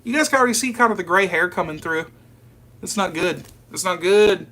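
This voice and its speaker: A man's voice: high voice, expressive, fairly quick, sharp tone. A man, chatting casually and informally as he hosts a live stream and answers viewer comments. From southern United States. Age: early 30s. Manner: informal, rambling, addressing viewers as "you guys".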